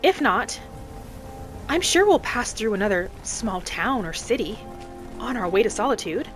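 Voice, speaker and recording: A woman playing a gentle, matter-of-fact wood elf wanderer, musing aloud in measured, short phrases as in-character game roleplay. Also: on the high side, big pitch swings. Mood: thoughtful, practical. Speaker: roleplay gamer narrating in character. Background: background music.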